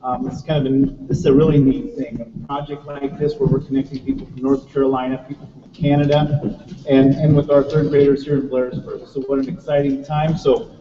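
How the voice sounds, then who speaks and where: A man's voice: medium pitch, flat delivery, brisk. Distant, echoey American man welcoming an audience. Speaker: school representative introducing an event. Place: large echoey room at a school event. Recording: echoey room, distant mic, muffled, noisy.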